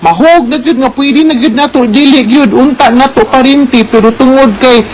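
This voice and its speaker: Man, Filipino accent, high voice, advice host, conversational explainer, English code-switching, faint background noise. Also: normal speed, low-quality recording. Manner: explanatory, with frequent check-in tags.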